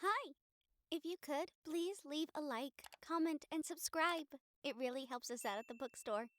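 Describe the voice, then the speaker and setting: Woman acting, young female investigator, audio roleplay, high-pitched, friendly, gentle, earnest. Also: normal speed. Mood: cheerful.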